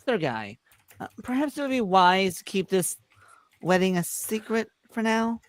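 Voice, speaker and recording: A woman's voice: medium pitch, sing-song, moderate pace, natural voice. A woman voicing a smug, scheming medieval sheriff in a comedic script read, with an arch, insinuating manner.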